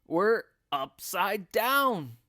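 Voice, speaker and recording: A man's voice: high voice, very animated, extremely slow, dry recording, clean studio sound, voice pushed up, full character voice. Man playing a blustering, nasty storybook husband. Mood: alarmed. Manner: blustering, shouty, indignant.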